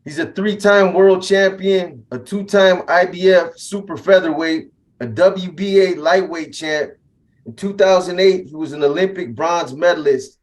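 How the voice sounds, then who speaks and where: Brief: man, fairly high voice, relaxed host reading out stats and questions. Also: a little slow. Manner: casual, friendly, calls the guest "bro". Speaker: interviewer, host. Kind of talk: podcast interview.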